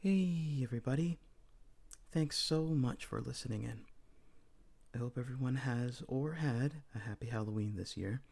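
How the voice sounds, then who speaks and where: A man's voice: mid-range voice, moderate pace, vocal fry, a little mellow. A man speaking casually to his listeners as the host of an audio channel.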